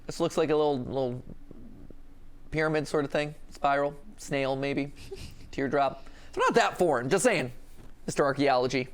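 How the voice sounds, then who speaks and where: A man's voice: a little high, normal speed, long pauses. A young adult man giving casual, joking reaction commentary as a show host.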